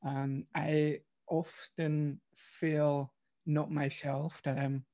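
A man's voice: a little high, little pitch movement, extremely slow, warm tone. A man in his thirties from Switzerland, a podcast guest telling his life story over a video call, hesitant with many fillers. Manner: hesitant, many uhs, slightly non-native phrasing. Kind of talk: personal storytelling interview. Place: home room, video call.

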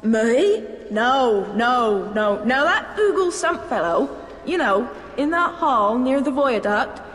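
An actress playing a chatty, rambling talking portrait in a video game. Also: female voice, a little high, sing-song, slow, big-room sound. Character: chatty scatterbrained female portrait.

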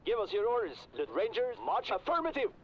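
An actor playing an urgent soldier in a video game, barking short military responses. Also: male voice, extremely high pitch, big pitch swings, fairly quick, slight background noise, full character voice. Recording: muffled game audio, loud artillery and gunfire.

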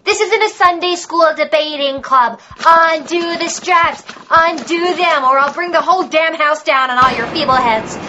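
A woman's voice: high voice, normal speed, few pauses, bright, forceful tone, lo-fi sound, a little hiss or hum. A woman in a radio play voicing a mocking, imperious, lewd demon that speaks through a possessed girl. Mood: furious, commanding.

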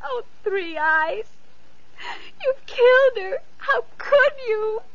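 A woman playing a gentle, kind young girl in a vintage radio play, speaking sweetly and coaxingly. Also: very high voice, animated, drawn-out words, exaggerated voice. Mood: distraught. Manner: sing-song, sweet and coaxing. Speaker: radio actress. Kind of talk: 1940s radio acting. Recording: muffled old radio recording.

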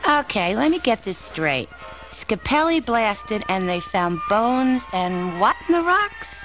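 A woman's voice: medium pitch, sing-song, slow pace, fairly bright tone, faint music behind, low-quality recording. A woman playing a chatty, brassy girlfriend in a radio play. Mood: curious. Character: brassy, friendly, nosy Brooklyn girlfriend.

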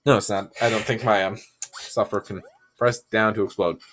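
A young man giving goofy, self-mocking commentary while playing a game, sick and sounding deeper than usual. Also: steady tone, moderate pace, fairly bright tone. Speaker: gamer. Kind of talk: casual gameplay commentary.

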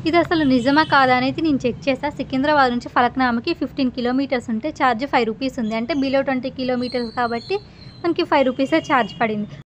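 A woman's voice: sits high, quick pace, a little hiss or hum. A young adult woman, narrating an informal travel vlog and mixing in English terms. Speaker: travel vlogger.

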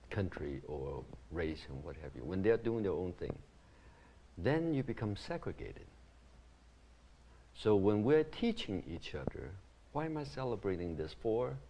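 A middle-aged man from Taiwan, a community founder, answering interview questions earnestly in an ornate living room. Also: sits low. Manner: animated, gestures with hands while explaining.

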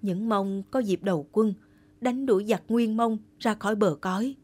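A woman voicing a humble, earnest young male peasant in an animated folktale, respectful and formal. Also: medium pitch, moderate pace, lightly acted.

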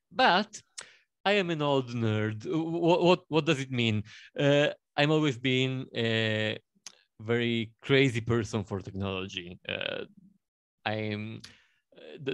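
A man in his thirties with an Italian accent, introducing himself on a panel in a halting way, with many fillers and restarts. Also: on the low side, a bit bright, smooth, dry recording. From Italy. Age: 30s. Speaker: panel guest.

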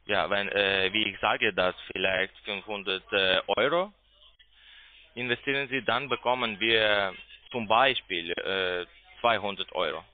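A man with a foreign accent, not a native speaker, making a halting sales call and searching for words in broken phrasing. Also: on the low side, fairly even intonation. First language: not German. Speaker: phone sales agent pitching investments. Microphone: telephone. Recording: tinny, muffled phone line.

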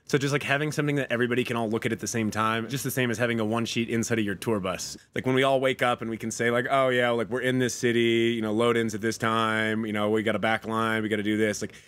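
A man's voice: mid-range voice, little pitch movement, fast, few pauses, fairly bright tone. Casual young man, chatty and rambling. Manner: casual, rambling with many fillers. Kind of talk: video call interview. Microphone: studio mic on stand.

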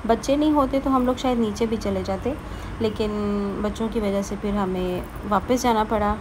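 A woman's voice: mid-range voice, normal speed, background noise. A woman narrating a casual travel vlog, chatty and keen to recommend places to her viewers. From Pakistan. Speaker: travel vlogger.

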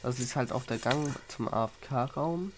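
A man's voice: medium pitch, a little slow. Male gamer with a stuffy cold, plain chatty narration over a faint background hiss. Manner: plain, chatty, explains as he plays. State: has a cold, runny nose. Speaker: Minecraft YouTuber. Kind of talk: casual gameplay commentary.

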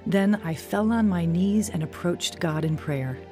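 A woman's voice: lowish voice, unhurried, natural voice. An actress playing a troubled young woman who earnestly tells her own story in an audio drama. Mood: reflective. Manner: earnest, confessional. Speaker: narrator and lead actor in audio drama. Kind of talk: first-person dramatized testimony narration. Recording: music bed under studio voice. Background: music.

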